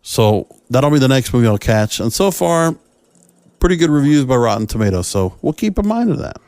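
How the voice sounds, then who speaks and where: A man's voice: medium pitch, big pitch swings, fairly quick, darkish tone, no room sound. Dry-sounding man hosting a casual podcast. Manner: rambling, conversational, opinionated. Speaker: podcast host. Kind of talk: casual solo entertainment talk.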